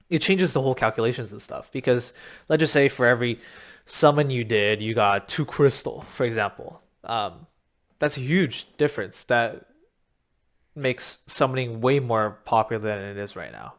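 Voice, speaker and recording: A man with a slightly raspy, worn voice, coaching viewers on a crypto game in a rambling, filler-heavy pitch, a little short of breath. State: short of breath from long talking. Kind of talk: casual talking-head explainer.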